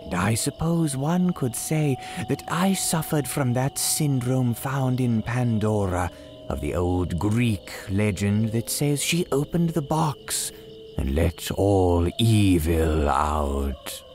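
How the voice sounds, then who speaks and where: A man playing a courteous, gleefully sinister cemetery keeper, telling horror tales in grand, archaic, drawn-out phrases like old-time radio drama. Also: lowish voice, lively intonation, a little mellow, soft background music. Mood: grandly ominous. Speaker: horror storyteller host.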